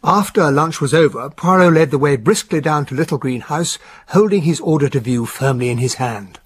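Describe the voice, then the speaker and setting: Close and dry, a genteel English detective's sidekick in a radio play. His voice sits fairly high and is rough-edged and husky, with an affable, easygoing manner. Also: moderate pace, dry recording. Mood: wry narration. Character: affable English gentleman, detective's loyal, unimaginative companion and narrator. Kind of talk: radio drama acting and narration.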